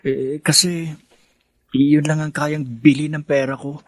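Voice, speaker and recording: An actor playing an earnest, dutiful teenage son from a poor family in a radio drama. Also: male voice, a little high, level tone, measured pace, lightly acted. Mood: hesitant, sheepish.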